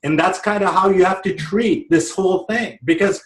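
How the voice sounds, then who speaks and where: A man with a booming voice, pitched a bit high for a man, talking as a guest in a casual chat. He starts and stops, filling gaps with hesitant sounds. The room around him rings with echo. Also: recorded from a distance. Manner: booming, halting with many filler sounds.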